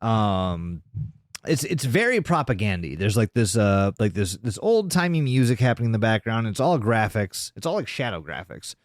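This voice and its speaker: A man's voice: lowish voice, big pitch swings, moderate pace, no room sound, studio quality. A man hosting a podcast, casually recounting a video in informal, sweary language. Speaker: podcast host.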